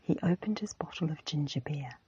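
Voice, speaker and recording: A woman reading a storybook in a breathy ASMR whisper, gently and deliberately. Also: low voice, expressive, muffled recording, a little hiss or hum. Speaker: ASMR audiobook reader.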